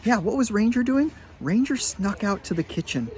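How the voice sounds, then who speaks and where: A man's voice: high-pitched, normal speed. Chatty middle-aged man telling a story. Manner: chatty, animated, lots of filler words. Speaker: high school sports medicine teacher. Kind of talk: casual storytelling to students.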